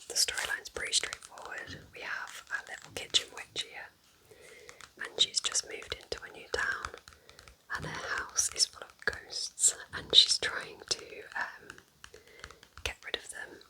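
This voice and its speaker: A woman whispering gently and unhurriedly in an ASMR style, rambling softly as she recommends things close to the microphone. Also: measured pace, poor audio. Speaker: ASMR host playing a kind witch recommending books.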